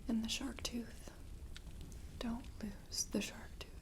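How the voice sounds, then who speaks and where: A young woman playing a mysterious, gentle seaside shopkeeper in a whispered ASMR roleplay, hushed, unhurried and intimate. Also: on the high side, fairly even intonation. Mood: hushed, kindly. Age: young adult. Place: dim candlelit tabletop set indoors.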